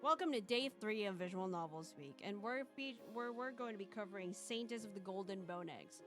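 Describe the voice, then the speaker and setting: A woman hosts a casual live stream with an average-pitched voice. She talks in loose, halting sentences that wander as she goes. Music plays behind her. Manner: rambling, halting. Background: background music.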